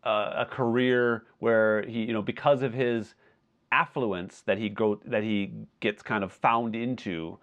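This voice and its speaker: A man co-hosting a podcast in a casual film discussion, talking in a halting, wordy way as he thinks aloud. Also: fairly low pitch, steady tone, a bit bright, highs cut off. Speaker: podcast co-host.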